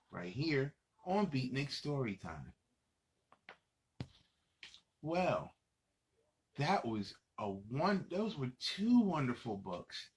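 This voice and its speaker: A man's voice: on the high side, fairly bright tone. A man hosting a children's show, talking to kids in a playful, encouraging way with repetitive, sing-song phrasing. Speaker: children's storytime host. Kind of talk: children's show presenting, leading exercises.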